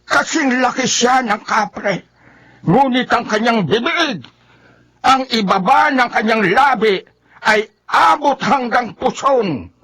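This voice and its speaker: An actor playing a forceful, commanding folk healer who battles evil spirits in a Filipino radio horror drama, with emphatic delivery and a Filipino accent. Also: male voice, extremely high pitch, lively intonation, takes it slowly, heavily acted. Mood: grave, explaining.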